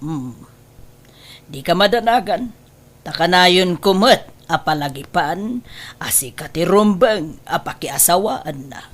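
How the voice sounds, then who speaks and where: A woman's voice: lowish voice, animated, slow pace. A middle-aged woman with an Ilocano accent playing a scheming, disapproving mother in an audio drama. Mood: calculating. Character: scheming, wealthy mother, disapproving of daughter's boyfriend.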